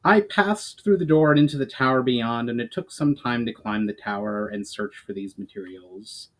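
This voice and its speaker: A man's voice: medium pitch, moderate pace, a bit bright, lightly acted, poor audio. A man voicing an earnest male elf adventurer who speaks with formal courtesy in a fantasy storybook. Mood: puzzled.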